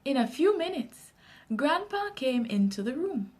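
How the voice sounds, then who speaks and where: A woman with a Jamaican accent reading a children's story aloud in an expressive, unhurried way. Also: high voice.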